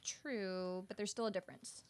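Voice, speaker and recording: A woman's voice: medium pitch, steady tone, normal speed, a little hiss or hum, natural voice. A young woman playing a sardonic, practical, exasperated roommate in a radio comedy, dry and matter-of-fact. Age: young adult. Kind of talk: student radio comedy acting.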